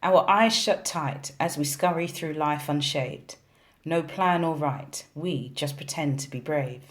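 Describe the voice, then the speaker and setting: A woman reading a poem aloud in a measured recitation style. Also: low voice. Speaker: poetry reader.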